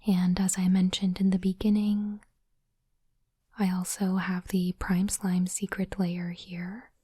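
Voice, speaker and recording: A woman whispering gently and breathily in an ASMR style, in unhurried short phrases, close to the microphone. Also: fairly low pitch, level tone, dry recording. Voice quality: soft, breathy unvoiced whisper. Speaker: ASMR host unboxing trading cards. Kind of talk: whispered ASMR, reading cards and commenting. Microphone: close microphone, dry.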